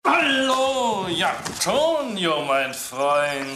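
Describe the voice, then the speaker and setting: An actor playing a brisk, commanding, sardonic police inspector in a German radio crime drama. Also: male voice, high-pitched, big pitch swings, background noise, heavily acted. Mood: gloating.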